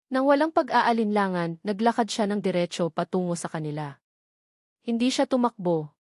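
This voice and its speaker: A woman's voice: medium pitch, a little mellow, no echo. Woman narrating a story, dry close sound. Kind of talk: scripted dramatic story narration.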